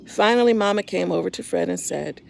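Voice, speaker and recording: A woman reading a children's story aloud in an expressive, simple storytime manner, talking directly to young listeners. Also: on the low side, a little fast, vocal fry, slight background noise.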